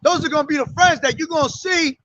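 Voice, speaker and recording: A very high, bright-toned man preaching outdoors, his voice worn hoarse. He reads scripture in a slow, measured way and then presses each point with "man" and "all right?". The recording is dull and lo-fi. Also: slight background noise. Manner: emphatic, calls listeners "man", reads scripture slowly. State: hoarse from days of yelling. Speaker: street preacher. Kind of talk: street sermon with scripture reading. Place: outdoors on a street.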